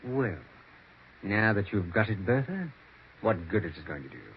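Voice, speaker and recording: An actor playing a scornful, relentless amateur sleuth in a 1940s radio mystery. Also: male voice, on the low side, very animated, normal speed. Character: sharp, accusing amateur sleuth nephew exposing a killer. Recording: dull, noisy 1940s radio recording.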